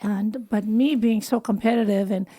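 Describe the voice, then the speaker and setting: A woman's voice: medium pitch, fairly even intonation, moderate pace, warm tone. A woman in her fifties, born in the Philippines, a wellness doctor talking casually in an interview. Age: 50s. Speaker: anti-aging and wellness doctor.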